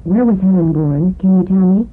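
A man reading drill sentences in a measured, clearly enunciated way for a language lesson. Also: high voice, narrow, tinny audio.

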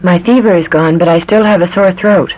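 High-voiced man reading drill sentences. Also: steady tone, fairly quick. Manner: clear, careful reading. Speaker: drill speaker on language tape. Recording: dull old language tape.

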